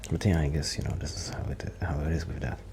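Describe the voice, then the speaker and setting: A man doing a soft-spoken, half-whispered ASMR roleplay as a shy, quiet musician friend, rambling hesitantly and interrupting himself. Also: extremely low pitch, steady tone, fast, vocal fry, noisy background. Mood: thoughtful, rambling.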